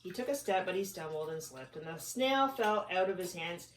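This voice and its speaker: A woman narrates a picture book with lively, swooping intonation, slipping into casual questions for a child. She sounds a bit away from the mic in an echoey room with faint noise. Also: lowish voice, normal speed, distant mic. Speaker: parent reading to child. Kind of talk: expressive storybook narration with casual chat. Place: at home.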